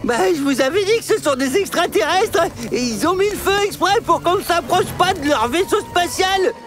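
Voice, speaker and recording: An actor voicing an excitable, paranoid young cartoon dinosaur, dramatic and prone to stammering when alarmed. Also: very high voice, moderate pace. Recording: loud music behind the voice. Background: loud cartoon music.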